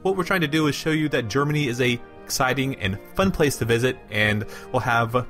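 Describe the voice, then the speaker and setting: A man's voice: mid-range voice, a little fast, fairly bright tone. Upbeat male co-host, faint music behind. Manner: upbeat, enthusiastic presenter. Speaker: podcast co-host. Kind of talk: casual chat.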